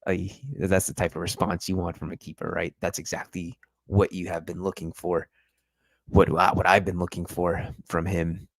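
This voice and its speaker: Casting: male stream host, very low voice, dry close sound, chatty and analytical. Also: level tone, moderate pace, no echo. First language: English. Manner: chatty, analytical, addresses viewers directly. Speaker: football podcast host. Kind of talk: live-stream match review.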